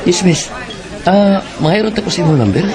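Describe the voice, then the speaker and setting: An actor playing a young, possessive Bisaya suitor in a Filipino radio drama. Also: male voice, sits high, expressive, moderate pace, over a noisy crowd, very noisy. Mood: friendly. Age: young adult. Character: young male suitor, earnest, possessive and jealous.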